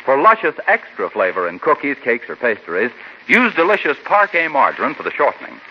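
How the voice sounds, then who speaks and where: A man announcing and pitching products in the polished, emphatic style of 1940s radio. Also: huge pitch swings, brisk. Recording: muffled, faintly noisy 1940s radio recording.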